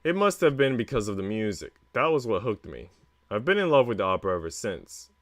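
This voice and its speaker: A man's voice: lowish voice, very animated, a little fast. A man voicing an earnest, well-mannered young woman who narrates a visual novel in a formal, reflective way. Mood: fond, reflective.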